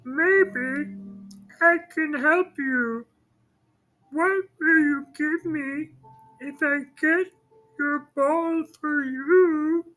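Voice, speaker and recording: A woman voicing a small, ugly male frog in a children's storybook, talking very high, slow and drawn out. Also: fairly bright tone, soft background music, exaggerated voice, poor audio. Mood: pleading.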